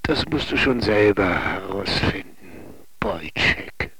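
A man playing a secretive Russian intelligence boss in a radio drama, measured, evasive and commanding. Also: fairly low pitch, expressive. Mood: controlled, commanding. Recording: noisy vehicle-like ambience.

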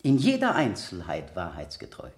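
Male examiner, radio drama. Methodical, insistent, courteous, with animated pitch in a roomy acoustic. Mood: insistent, pressing. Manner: deliberate, insistent, formally polite questioning. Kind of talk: 1970 German radio play acting.

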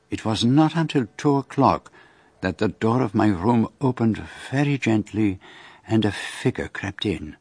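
An actor playing a courteous, shrewd gentleman detective with a French-speaking Belgian accent, precise and formal, in a radio drama. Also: fairly low pitch, husky, dry recording, full character voice. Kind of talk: radio drama narration and dialogue.

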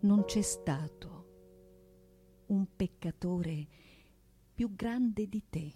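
A woman performing a theatrical monologue in a declamatory, deliberate way, with emphatic delivery and dramatic pauses. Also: medium pitch, mellow. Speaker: stage narrator and performer. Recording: piano music accompaniment. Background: live piano.

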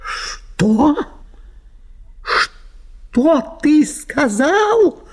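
A man's voice: very high voice, very animated, drawn-out words, pitched above the performer's own voice, heavily acted. A man voicing a hysterical, prudish wife who pleads and scolds melodramatically in an audio play. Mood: outraged. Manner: breathless, pleading, melodramatic exclamations. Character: hysterical, prudish, indignant wife at a country house.

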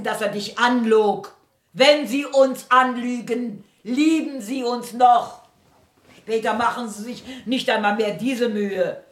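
A worldly-wise older maidservant in a radio play, heard with a clear room echo. She speaks slowly and bluntly, with sardonic, dramatic mockery. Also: medium pitch. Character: older female servant, blunt, mocking, worldly-wise confidante. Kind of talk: German radio play acting.

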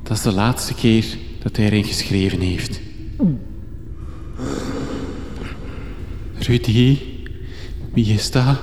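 A man with a Flemish accent playing a fussy, excitable young reporter on an adventure in a radio drama. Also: lowish voice, a little slow, music in the background, lightly acted. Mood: alarmed. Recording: echoey cave reverb, noisy ambience.

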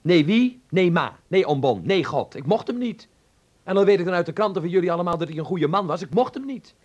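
An actor playing an earnest, defiant teenage son in a Dutch radio play, hesitant and stammering at times, then insistent. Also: male voice, sits high, animated, brisk, dull audio.

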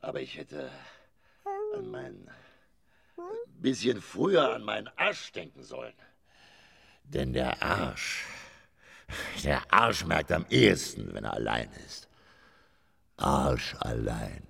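A worn-out old theatre man in a radio drama, very slow gravelly rambling, theatrical. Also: extremely low pitch, wildly swooping pitch, fairly bright tone, full character voice. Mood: bitter, theatrical. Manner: theatrical, halting, drawn-out delivery. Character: weary, rambling old theatre man facing his end.

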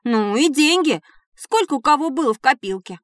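A woman's voice: sits high, normal speed, no echo, clean studio sound. A woman playing an eager, boastful schoolboy telling stories in a scripted educational audio drama. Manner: animated storytelling.

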